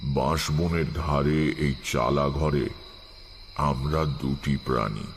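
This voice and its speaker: A man narrating an eerie audio story as a grave first-person storyteller, with a deliberate, suspenseful delivery. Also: extremely low pitch, fairly even intonation, slight background noise, exaggerated voice. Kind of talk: atmospheric scripted horror-story narration. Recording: night insect ambience behind.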